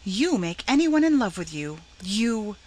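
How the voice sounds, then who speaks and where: A woman's voice: medium pitch, very animated, unhurried, a little mellow, pitched above the performer's own voice. A woman voicing a cool, bitter schoolgirl in a storybook, mocking and full of disdain. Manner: sneering, mocking.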